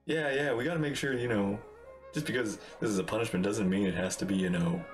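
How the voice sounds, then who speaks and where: A man's voice: fairly low pitch, fast, soft background music. A man streaming a game and reacting to it with casual commentary.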